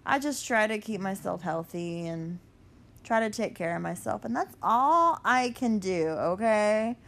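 Slow, blunt, sweary woman podcaster. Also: mid-range voice, animated, gravelly, fairly bright tone. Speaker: podcast host. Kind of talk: casual solo podcast chat.

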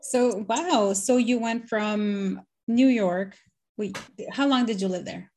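A woman's voice: mid-range voice, takes it slowly, a little mellow. A woman from Nicaragua hosting a podcast interview, upbeat and chatty. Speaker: podcast host. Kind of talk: casual interview chat.

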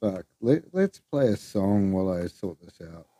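Male podcast host, low mellow voice, rambling and offhand, very dry room. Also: sing-song, normal speed, no echo. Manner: rambling, loose, swears, trails off. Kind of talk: casual solo podcast chat.

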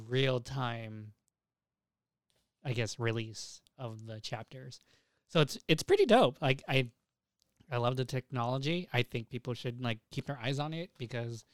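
A young man chats as a podcast host in a clean, smooth voice at a medium pitch. He is enthusiastic and opinionated, talking in loose slang with plenty of swearing. The sound is close and dry. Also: no room sound. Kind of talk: casual podcast chat.

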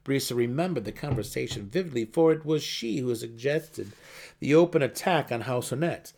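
A man's voice: mid-range voice, expressive, moderate pace, vocal fry, darkish tone. A man reading a fantasy novel aloud as a dramatic audiobook narrator.

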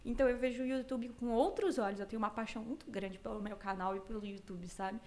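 A woman's voice: fairly high pitch, steady tone, fast talker, darkish tone. A young Brazilian woman from Cuiabá in her twenties, chatting as a streamer guest in slangy talk with frequent laughs. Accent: Brazilian, mixed Cuiabá and São Paulo. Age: 20s. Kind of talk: casual chat.

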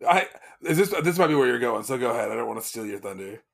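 A man chatting casually about a book, wry and often laughing, halting with restarts. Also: medium pitch, animated, creaky, fairly bright tone. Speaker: podcast co-host.

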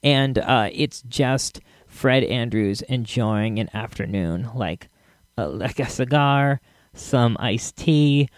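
A man hosting a podcast solo, talking casually in a rambling, halting way with chuckles mixed in. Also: medium pitch, no room sound, studio quality. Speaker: podcast host.

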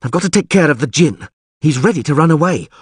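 A man's voice: a little high, expressive, quick pace, husky, no echo, clean studio sound, voice pushed up. A man voicing an imperious, ruthless sorceress in an audiobook, clipped and commanding through gritted teeth. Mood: scornful, commanding. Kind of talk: audiobook character voice.

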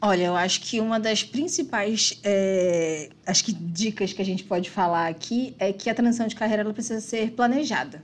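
A woman in her thirties speaking as a guest on a company livestream, lively and casual. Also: mid-range voice, a little fast, few pauses. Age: 30s. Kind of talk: casual panel talk.

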